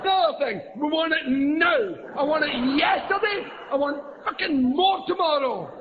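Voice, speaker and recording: A man ranting out a profane, shouted list of demands. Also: very high-pitched, normal speed. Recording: dull, noisy, heavily reverberant played clip.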